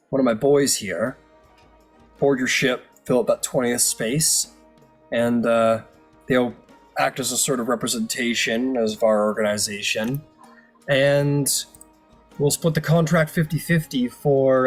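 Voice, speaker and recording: A man's voice: medium pitch, a little slow, natural voice. A man playing an easygoing mercenary boss in a tabletop game, talking business in a casual, hesitant way. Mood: businesslike, casual. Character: easygoing male mercenary boss making a deal. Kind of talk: improvised tabletop roleplay. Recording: music playing under the voice.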